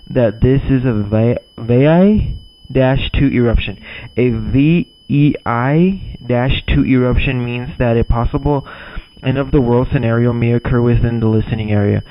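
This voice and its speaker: A dull, lo-fi recording of a man acting as a male emergency alert announcer. He has a mid-pitched voice and reads a scripted warning in a grave, formal, deliberate manner. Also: close to an everyday voice. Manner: formal, deliberate, occasional stumbles over words. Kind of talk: scripted emergency broadcast reading. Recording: dull lo-fi audio.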